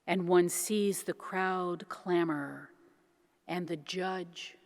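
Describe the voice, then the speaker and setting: A woman giving a grave, dramatic reading of devotional poetry, deliberate and declamatory, with weighted pauses. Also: lowish voice, fairly even intonation. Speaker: reader of devotional poetry, minister. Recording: long church-like reverberant hall echo.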